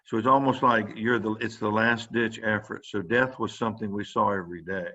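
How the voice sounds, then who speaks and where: A man's voice: on the low side, fairly even intonation, brisk, bright, forceful tone. Rambling older man, muffled audio. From South Carolina. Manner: rambling, many fillers and restarts. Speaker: physician lecturer. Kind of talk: informal lecture to students. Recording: muffled, dull online lecture audio.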